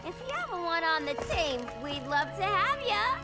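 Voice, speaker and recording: A cheeky young female antihero in a video game. Her voice is high and bouncy, playful and folksy, with loud music behind it. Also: big pitch swings, normal speed. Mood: playful, admiring. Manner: playful, folksy, clipped words. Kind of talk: cinematic video game acting.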